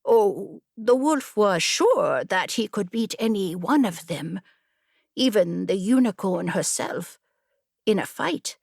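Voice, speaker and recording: A woman's voice: mid-range voice, sing-song, slow, vocal fry, a little mellow, slightly rough, dry recording, studio quality, heavily acted. A woman playing a storyteller who holds children rapt at a campfire, theatrical and emphatic, in an audiobook. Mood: dramatic.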